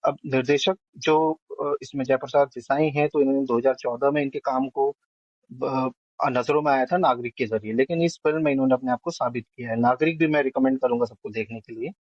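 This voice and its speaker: A man speaks as a film review panelist, working through his assessment point by point. His voice sits at a middle pitch, and the recording is dry and slightly dull, with little room sound. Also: steady tone, fast talker, a little mellow, dry recording.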